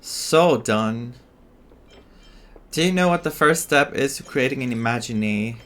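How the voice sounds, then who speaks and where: A man's voice: medium pitch, expressive, a little slow, fairly bright tone. A man giving casual, rambling, reactive commentary as he streams a game playthrough. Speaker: gaming streamer.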